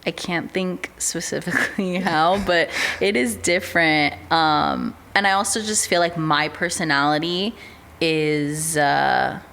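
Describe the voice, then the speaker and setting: A woman's voice: low-pitched, unhurried, creaky. A young woman from the United States, chatting casually as a podcast guest, with a lot of "um" and "like". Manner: relaxed, chatty, with many fillers like "um" and "like". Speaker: podcast guest, artist manager. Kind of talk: casual interview chat.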